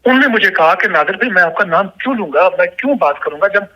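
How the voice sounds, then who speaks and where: A man's voice: sits high, lively intonation, fast, dull audio. A Pakistani man giving his side as an interview guest, speaking insistently and emphatically and often repeating his phrases. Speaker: interview guest, journalist and vlogger.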